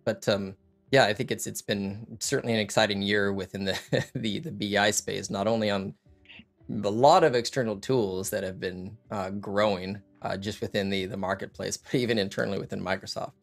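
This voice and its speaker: A man's voice: on the low side, steady tone, normal speed, vocal fry, faint music behind. A man in his thirties hosting a casual interview over a video call from his home, chatting loosely with many fillers and restarts. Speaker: host. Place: home room with lit wall panels and couch.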